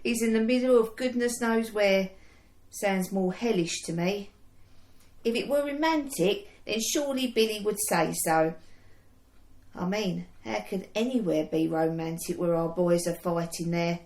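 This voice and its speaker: A woman's voice: medium pitch, a little slow, darkish tone, lightly acted. A young woman waiting at home in wartime, played by an actress. She is loving and a little anxious, with a mid-range voice, in the manner of a period radio drama. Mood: concerned.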